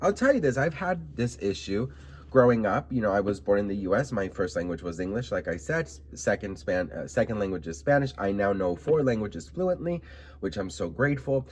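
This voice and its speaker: A man's voice: lowish voice, animated, brisk, a little mellow. A young man from Miami giving sassy, emphatic commentary to camera, often adding "okay?" asides. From Miami, United States. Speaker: vlogger commenting on celebrity news.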